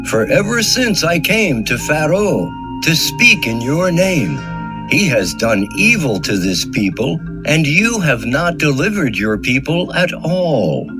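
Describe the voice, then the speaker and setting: A man's voice: fairly high pitch, big pitch swings, slow. A man playing an earnest, pleading Hebrew prophet in a scripture audio drama. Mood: anguished questioning. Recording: music under the voice.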